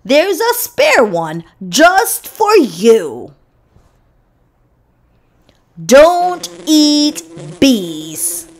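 A woman voicing an earnest, boastful pet dog in a children's picture book, with emphatic, drawn-out delivery. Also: high-pitched, huge pitch swings, voice pushed up, heavily acted. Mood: emphatic warning.

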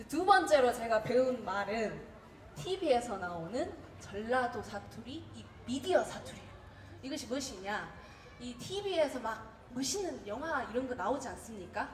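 Sex: woman. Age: 30s. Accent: Jeolla. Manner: witty, animated storyteller. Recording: echoey hall, mic far away, faint noise. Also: fairly high pitch, normal speed. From Gwangju, South Korea. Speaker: contest participant. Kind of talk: humorous prepared speech. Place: event hall.

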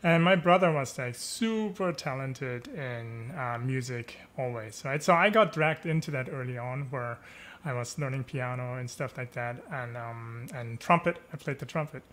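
A man's voice: medium pitch, moderate pace, a bit bright, slight background noise. A man in his thirties from Germany, a native German speaker, talking casually over a headset on a video call, with frequent chuckles and fillers. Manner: easygoing, chuckles often, many fillers and tag questions. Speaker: guest. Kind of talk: casual interview chat. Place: home room, video call.

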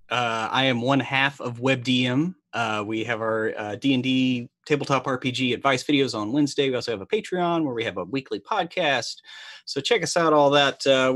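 A man's voice: mid-range voice, fairly bright tone, dry recording, studio quality. An American man in his forties chatting casually on a livestream in an easygoing, self-deprecating way. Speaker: player and web show co-host. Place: room indoors, on a video call.

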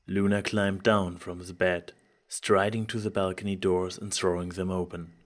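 A man's voice: low-pitched, little pitch movement, normal speed. A man reading scripted fiction as the narrator of a dramatised story. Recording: music behind the voice.

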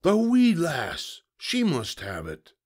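A man voicing a loud, gruff, blustering pirate captain from a storybook, with theatrical hollering. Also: fairly high pitch, very animated, slow, pitched above the performer's own voice, full character voice. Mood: indignant, alarmed. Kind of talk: storybook character voice.

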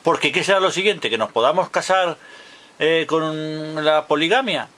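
A man's voice: on the high side, moderate pace, fairly bright tone. A man in his fifties from Barcelona, delivering an emphatic, opinionated monologue to a close webcam and repeating his points insistently. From Barcelona, Spain. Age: 50s. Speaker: video blogger. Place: indoors in front of a blue wall.

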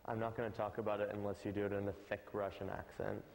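A man's voice: fairly low pitch, little pitch movement, fast, gravelly, darkish tone. A man teasing his dance partner in casual banter. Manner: teasing, scat-singing counts. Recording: clicky, noisy background.

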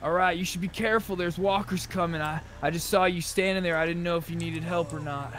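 Male gamer, slightly high pitch, casual in-character chat, light background noise. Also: steady tone, fairly quick, a bit bright. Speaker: roleplay gamer.

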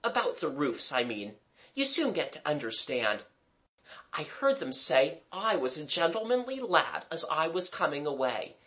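A narrator as a smooth, light-hearted schoolboy. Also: mid-range voice, sing-song, a little slow, a bit bright. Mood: breezy, reassuring. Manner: honeyed, explaining. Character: gentlemanly, light-hearted boy, smooth and reassuring.

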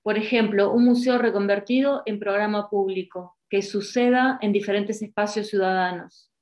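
A woman's voice: medium pitch, fairly even intonation, moderate pace, a little mellow. Woman moderator, home video call, reads chat questions, tags remarks with a questioning word. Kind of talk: moderating a panel discussion. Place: home room with posters.

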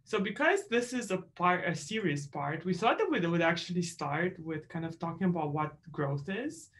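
A woman's voice: fairly low pitch, lively intonation, normal speed. A woman from Romania co-hosting a webinar in an upbeat, chatty way with fillers. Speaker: webinar co-host. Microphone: video call.

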